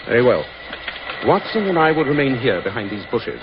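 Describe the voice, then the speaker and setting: Resolute sleuth, authoritative and formal, very varied pitch, vintage radio drama. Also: male voice, very animated, a little fast. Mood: firm resolve. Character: shrewd, commanding gentleman detective, stern and resolute. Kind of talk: 1940s radio drama acting. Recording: dull, noisy 1940s radio recording.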